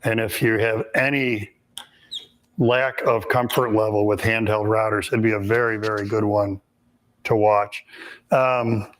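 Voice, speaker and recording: A man with a raspy, hoarse voice, hosting a live woodworking Q&A and explaining techniques step by step in a shop, with frequent ums. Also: fairly low pitch, little pitch movement, unhurried, a bit bright. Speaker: woodworking instructor and host.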